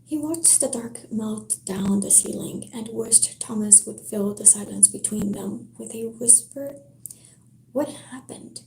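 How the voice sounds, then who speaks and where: Slow, soft-spoken woman with a French accent. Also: on the high side, creaky. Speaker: livestreamer reading a novel aloud. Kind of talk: casual live-stream chat and book reading. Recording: roomy echo.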